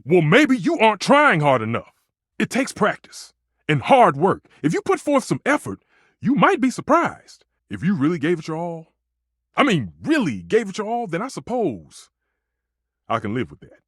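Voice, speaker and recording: A volatile, theatrical head chef for a video game. His husky voice sits fairly high and swings enormously in pitch. He is passionate and emphatic, and the sound is close and dry. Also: huge pitch swings, moderate pace, a bit bright, no room sound, exaggerated voice. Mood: defensive. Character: temperamental, passionate male chef, a living kitchen stove. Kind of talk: video game character acting.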